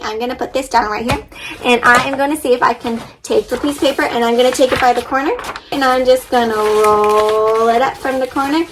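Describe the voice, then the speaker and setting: High-voiced woman hosting a children's read-aloud. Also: background noise. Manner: expressive, encouraging, addresses young viewers directly with questions. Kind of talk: interactive children's story read-aloud with craft instructions.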